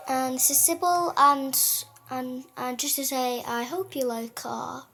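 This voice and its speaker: A woman's voice: high voice, steady tone, measured pace, gravelly, pushed and bright, faint music behind. A female co-host who chats casually and reads poetry dramatically. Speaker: podcast co-host.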